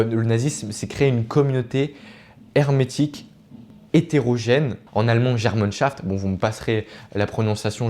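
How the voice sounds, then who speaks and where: Guy doing explainer commentary for his videos, lowish voice, earnest and kinda teachy, keeps correcting himself. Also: a little mellow. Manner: earnest, didactic, digressive, self-correcting. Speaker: video essayist. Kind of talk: informal explanatory political commentary to viewers.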